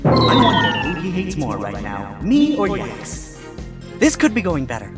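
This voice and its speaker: An actor voicing a wisecracking male fox defense attorney who talks quickly and wryly. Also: mid-range voice, huge pitch swings, loud music behind the voice. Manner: quick, wry, exclaiming objections.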